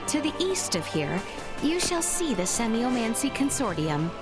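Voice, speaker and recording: An actress playing a formal, earnest young princess in a video game, with courtly old-fashioned phrasing. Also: female voice, on the high side, very animated, normal speed, over loud music. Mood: formal, purposeful. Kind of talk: video game voice acting, archaic courtly speech.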